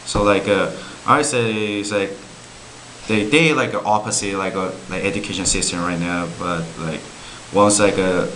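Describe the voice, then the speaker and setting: A young man, a student giving a halting interview answer full of fillers. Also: low voice, steady tone, a bit bright, low-quality recording. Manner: halting, heavy use of "like". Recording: faint hiss.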